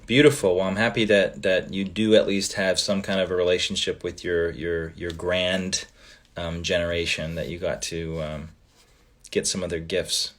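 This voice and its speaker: Low-voiced man chatting with fans live. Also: normal speed. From Canada. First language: Italian. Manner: relaxed, trailing off with frequent ums. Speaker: livestream host. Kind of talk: casual livestream chat reading fan comments.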